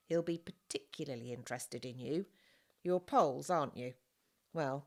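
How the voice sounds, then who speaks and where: A woman plays a gruff but kindly farmer in a storybook reading. He is talkative and blustering, leans on his words with teasing emphasis, and projects more loudly than plain narration. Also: deep voice. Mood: wry, teasing. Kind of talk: audiobook character voice, children's novel.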